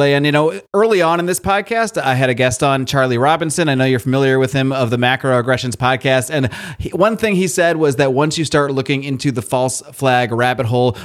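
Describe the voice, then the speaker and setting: A man's voice: mid-range voice, smooth. Male podcast host, informal and chatty, conversational delivery. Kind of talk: conversational podcast intro and interview.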